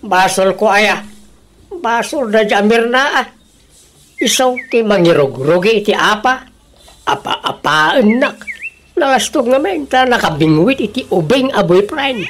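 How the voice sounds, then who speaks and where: A woman's voice: mid-range voice, expressive, unhurried, slight background noise, natural voice. A woman playing a bickering village neighbour in a comedy radio drama, teasing and gossiping with an Ilocano accent.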